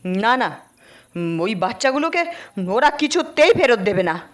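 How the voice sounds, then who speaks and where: High-voiced, desperate, threatening mother. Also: big pitch swings, unhurried. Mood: scolding. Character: desperate, threatening mother, hot-headed and sharp-tongued. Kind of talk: Bengali audio story acting.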